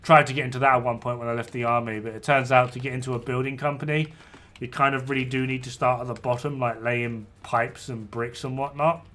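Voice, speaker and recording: A man in his thirties talks casually as he streams a PC game. His voice sits at an average pitch. He is informal and blunt, speaking loosely to fellow players and swearing freely. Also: level tone, a little fast, fairly bright tone. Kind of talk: casual gameplay chat with other players. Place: indoors at a gaming PC.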